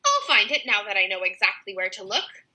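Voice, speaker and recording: A woman's voice: medium pitch, sing-song, fairly quick, sharp tone. A woman voicing a bossy, overconfident, dim-witted female kidnapper from a children's story, pompous about her nonsense. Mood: confident. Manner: pompous, matter-of-fact nonsense.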